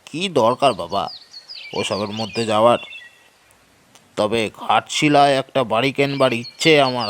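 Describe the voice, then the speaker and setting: An actor playing a cautious, superstitious elderly uncle in an audio horror story, emphatic and admonishing. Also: male voice, medium pitch, fairly bright tone, slight background noise, close to an everyday voice. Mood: indulgent.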